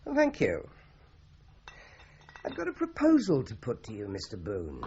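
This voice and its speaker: An actor playing a courteous, methodical Scotland Yard inspector in a British radio crime drama, speaking in a polite, measured, probing way. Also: male voice, mid-range voice, huge pitch swings, warm tone, slightly rough, heavily acted. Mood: calm, purposeful. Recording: muffled radio drama recording, faint noise.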